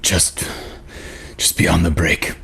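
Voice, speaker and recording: A man playing a weary, dying brother in a dramatic table read, grateful and tender, speaking in a hushed, halting, husky voice. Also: fairly even intonation, exaggerated voice. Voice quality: very deep, husky.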